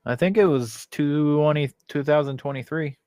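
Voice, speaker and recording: A man's voice: a little high, normal speed, darkish tone, smooth, no room sound, clean studio sound. A man co-hosting a casual podcast chat, rambling and correcting himself as he talks. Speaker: podcast co-host.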